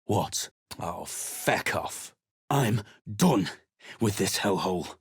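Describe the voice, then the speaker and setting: Gruff sellsword, husky, low-voiced, dry studio sound. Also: male voice, expressive, slow pace, no echo, exaggerated voice. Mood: surly. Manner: surly, contemptuous. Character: gruff rough-spoken male mercenary leader. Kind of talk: video game voice acting.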